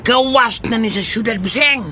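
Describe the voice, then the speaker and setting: Radio drama: comic male Cebuano sidekick, grumbling, high-pitched, piercingly bright, exclamatory. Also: normal speed. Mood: exasperated. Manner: complaining, exclamatory. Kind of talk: Philippine radio drama acting. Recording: faint vehicle noise. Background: car ambience.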